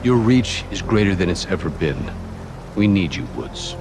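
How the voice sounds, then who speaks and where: Deep-voiced composed government man. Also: big pitch swings, over music. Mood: earnest, persuasive. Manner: formal, measured. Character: composed, persuasive male government official.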